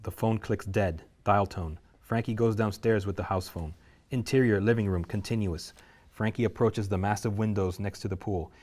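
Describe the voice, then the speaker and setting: A man reading the stage directions aloud at a script table reading, steady and measured. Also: fairly low pitch.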